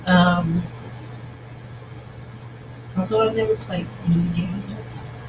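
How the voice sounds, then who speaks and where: A novelist with a raspy, scratchy voice talks through a story outline in a casual vlog, thinking aloud with pauses and restarts. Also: medium pitch, fairly even intonation. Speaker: novelist outlining their book.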